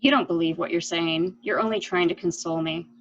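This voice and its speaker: A woman's voice: fairly low pitch, brisk, lo-fi sound, a little hiss or hum, lightly acted. A woman playing an anxious, disbelieving character in an amateur murder-mystery play, dramatic and pleading. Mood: doubtful, anxious.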